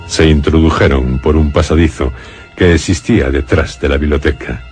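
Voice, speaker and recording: A man narrating a radio horror drama as a grave storyteller, solemn and deliberate, with a Castilian accent. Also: extremely low pitch, steady tone, darkish tone. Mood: grave suspense. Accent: Castilian Spanish. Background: music.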